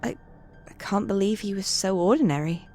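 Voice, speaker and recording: An actress playing a bookish, sceptical cleric of a knowledge god in a fantasy audio drama. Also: female voice, mid-range voice, fairly quick, darkish tone, soft background music, close to an everyday voice. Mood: astonished.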